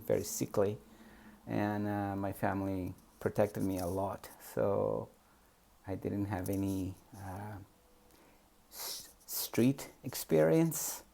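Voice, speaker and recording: A man's voice: fairly low pitch, steady tone, takes it slowly, vocal fry, warm tone, a little hiss or hum. A man from Peru with a Spanish accent, telling his life story in a hesitant, reflective way with many fillers. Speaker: memoir storyteller. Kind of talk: personal reminiscence.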